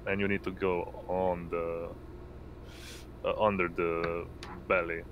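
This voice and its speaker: A man narrating as game master in a tabletop game, halting and pausing mid-sentence. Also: low voice, phone-quality sound. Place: indoors, online voice call. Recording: noisy home mic, steady hum.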